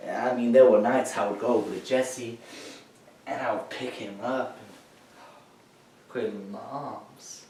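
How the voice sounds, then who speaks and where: A young man acting a troubled, grieving war veteran in a theatrical monologue, halting and emphatic, swinging between hushed and loud delivery. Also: mid-range voice, steady tone, slight background noise, natural voice. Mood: sorrowful. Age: teenager or young adult. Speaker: high school dramatic interpretation competitor. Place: echoey indoor room, recorded from far off. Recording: echoey room, distant microphone.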